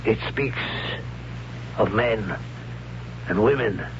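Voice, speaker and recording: An awed man of a primitive world in a 1970s radio play. His voice is low and he feels his way slowly through halting, solemn phrases. The old recording is dull and hissy. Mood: awed, reluctant. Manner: halting, solemn, hushed dread. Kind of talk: 1970s radio drama acting.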